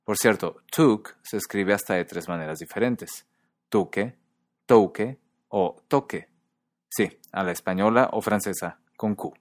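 Male podcast host, very low pitch, dry studio sound, measured explanatory read. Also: no room sound. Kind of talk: scripted podcast narration.

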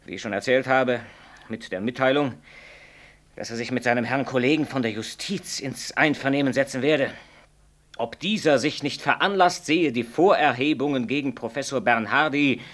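Man playing an excitable doctor, bright forceful voice. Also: medium pitch, normal speed, close to an everyday voice. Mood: agitated reporting. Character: loyal, excitable male doctor colleague, blunt and partisan. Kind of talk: 1960s German radio play acting.

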